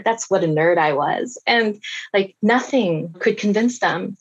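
A woman in her thirties answering interview questions in an earnest, reflective and measured way. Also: on the low side, highs cut off. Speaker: forensic science researcher, guest.

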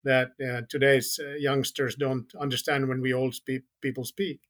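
A man's voice: medium pitch, little pitch movement, a bit bright. A man from Finland with a Finnish accent, a podcast guest speaking carefully in English with frequent restarts. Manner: careful, halting, with many restarts. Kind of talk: casual podcast conversation.

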